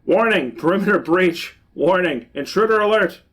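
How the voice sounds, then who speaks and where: A man voicing an urgent alarm machine, calling out loud warnings as he reads a story. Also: high voice, animated, moderate pace, voice pushed up. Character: blaring robotic intruder alarm machine.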